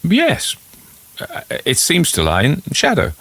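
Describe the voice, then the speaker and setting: A man performs a curious and brave gentleman guest in an old ghost story. He is polite, earnest and a touch hesitant, with pitch that leaps about freely. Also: huge pitch swings, slow pace, breathy edge, heavily acted. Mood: thoughtful.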